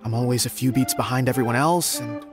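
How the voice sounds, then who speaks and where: A man's voice: mid-range voice, fast, music in the background, lightly acted. A man voicing a timid, dejected frog singer in a video game, apologetic and hesitant. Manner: hesitant, meek.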